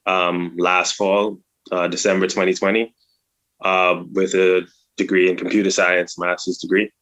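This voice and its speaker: Young guy from the bahamas, super deep flat voice, calm, lots of ums, video call. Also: highs cut off. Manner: calm, hesitant, many ums. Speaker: student team member. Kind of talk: casual interview answers.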